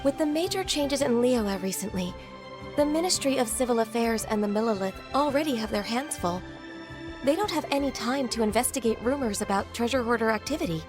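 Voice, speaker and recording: A woman's voice: fairly high pitch, expressive, brisk, natural voice. A woman playing an earnest, concerned quest giver in an anime game, explaining things carefully. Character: earnest, concerned woman posting an adventurers' commission. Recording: clear music behind voice.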